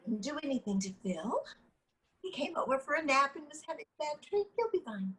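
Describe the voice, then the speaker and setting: A woman's voice: high voice, very animated, brisk, a bit bright, rough recording. A woman playing a frazzled, defensive, lovestruck goddess in a comedy table reading, flustered and insistent. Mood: defensive, irritated. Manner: flustered, insistent, nervous laughs. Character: frazzled, defensive, delusional lovestruck goddess Luella, meeting leader.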